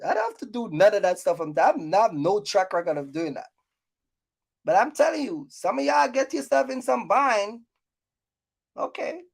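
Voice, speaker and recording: A woman's voice: mid-range voice, very animated, normal speed, gravelly, breathy edge. A woman hosting a casual, unscripted advice vlog, speaking bluntly and repeating points for emphasis in a scolding way. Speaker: YouTube host giving trucking business advice. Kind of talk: casual unscripted rant to viewers.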